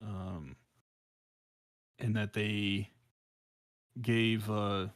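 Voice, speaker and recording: A man's voice: on the low side, flat delivery, very slow, dry recording, clean studio sound. An American man chatting casually on a podcast, hesitant and repeating phrases as he searches for his words. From South Central Los Angeles, United States. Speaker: podcast co-host.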